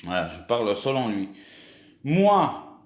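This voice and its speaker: Young french guy vlogging, slow and halting, echoey room. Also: mid-range voice, sing-song. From France. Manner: hesitant, many restarts and fillers. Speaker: YouTuber. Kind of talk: casual vlog monologue.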